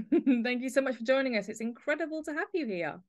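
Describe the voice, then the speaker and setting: A woman's voice: on the high side, lively intonation, brisk, darkish tone. Effusive woman hosting a podcast interview. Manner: effusive, full of praise for the guest. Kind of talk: casual chat interview.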